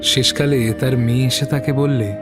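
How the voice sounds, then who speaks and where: A man's voice: mid-range voice, fairly even intonation, normal speed, music in the background. A man narrating a literary short story as a scripted audio reading.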